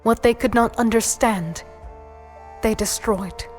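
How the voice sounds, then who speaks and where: An earnest female storyteller narrates dark fantasy in a fairly high voice. Her delivery is slow, deliberate and scripted, with soft music behind. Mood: solemn. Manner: measured, deliberate.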